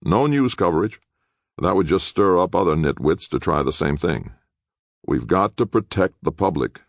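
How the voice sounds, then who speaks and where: A man's voice: a bass voice, lively intonation, normal speed, no room sound, studio quality, pitched above the performer's own voice. A man voicing a youngish public defender in an audiobook, cold, impatient and disapproving. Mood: dismissive. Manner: curt, disapproving, accusatory.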